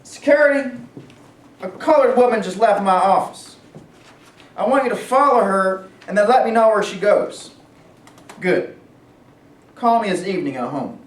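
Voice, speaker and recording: A woman's voice: medium pitch, animated, moderate pace, pauses often, natural voice. A woman reading a stern, commanding male office boss in a staged play. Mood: curt. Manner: curt, commanding. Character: stern, dismissive office boss called Junior, a man. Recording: echoey room, distant mic.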